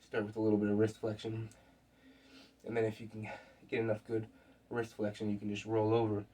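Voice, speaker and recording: A young man with a sick, scratchy voice and a sore throat, talking casually through his workout in a rambling, relaxed way with fillers. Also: on the low side, brisk. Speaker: fitness vlogger. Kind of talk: casual workout vlog talk. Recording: distant mic with faint background noise.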